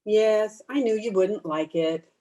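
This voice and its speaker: A woman's voice: mid-range voice, measured pace, warm tone, close to an everyday voice. A woman playing a blunt, disapproving cousin in old-time radio drama, prim and cutting. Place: at home, recorded over video call.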